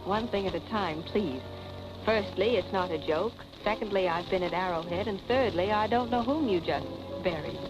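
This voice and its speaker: Actress as poised young wife, wry irony, 1940s film acting, muffled audio, prominent music. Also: female voice, medium pitch, normal speed, close to an everyday voice. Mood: composed, teasing. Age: young adult. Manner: cool, arch, composed irony. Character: wry, poised young wife attending her own funeral. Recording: dull old film soundtrack with music.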